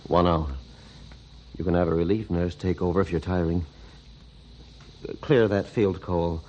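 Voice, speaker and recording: A male actor as a commanding surgeon in an old-time radio drama. His voice sits low, and he is exacting and intense in a melodramatic manner. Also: fairly even intonation, moderate pace, mellow, dull audio, a little hiss or hum, lightly acted. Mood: brisk, commanding.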